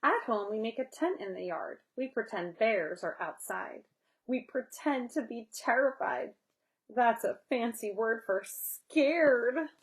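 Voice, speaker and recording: Woman storyteller for young kids, fairly high voice, very lively intonation, expressive picture-book read-aloud. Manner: expressive, pauses to explain words and chat with child. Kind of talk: animated picture-book reading for young children.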